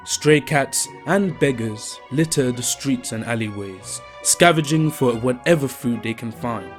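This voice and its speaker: A man narrating a fantasy audio drama in a measured storytelling manner. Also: medium pitch. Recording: narration over faint music.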